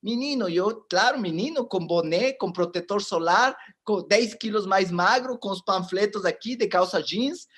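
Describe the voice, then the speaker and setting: A man in his forties with a fairly high voice, speaking a language that is not his first. He gives a motivational talk as an animated storyteller. He often turns to his listeners and addresses them directly. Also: normal speed, hardly any pauses, breathy edge. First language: not Portuguese.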